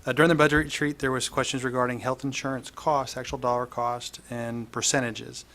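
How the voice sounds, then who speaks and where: A man's voice: medium pitch, flat delivery, brisk, fairly bright tone. A man presenting to a school board, opening formally with greetings and using hesitant fillers. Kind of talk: formal presentation at a public meeting. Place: school board meeting room.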